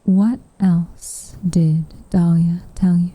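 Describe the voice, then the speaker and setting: A woman voicing a guarded, secretly tender tsundere witch in soft, often whispered ASMR roleplay, with coy, hesitant, wryly formal phrasing. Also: on the low side, level tone, slow, no echo. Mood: guarded, wistful.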